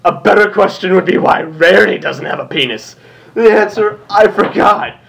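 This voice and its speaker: A man's voice: high voice, animated, moderate pace, vocal fry, a bit bright. A man reading fan fiction aloud for comedy, casual and giggly, with amused asides.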